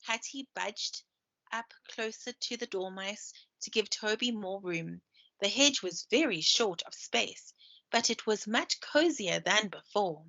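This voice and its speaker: A woman's voice: mid-range voice, lively intonation, unhurried, creaky, pushed and bright. Woman reading storytime, dull lo-fi sound. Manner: chatty, explains pictures to listeners. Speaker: primary school teacher. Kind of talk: read-aloud story time with asides to children. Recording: dull lo-fi screen-share recording.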